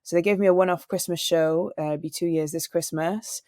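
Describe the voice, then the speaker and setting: Low-voiced woman telling stories. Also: brisk, no room sound, studio quality. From Wales. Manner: animated storyteller, acts out quoted people. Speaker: singer and radio presenter, interview guest. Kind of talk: relaxed interview conversation.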